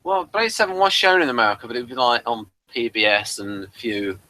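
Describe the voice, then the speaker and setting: A man with a sharp, bright voice at a middling pitch. He chats informally as a co-host, hesitating and circling back as he searches for the right words. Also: sing-song, fast talker, dry recording. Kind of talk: casual chat about old television.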